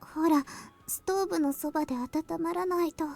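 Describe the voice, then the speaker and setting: A woman voicing a petite, quiet, caring schoolgirl in a visual novel, soft-spoken and hesitant. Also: very high voice, fast, gravelly. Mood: worried, earnest. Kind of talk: Japanese visual novel voice acting.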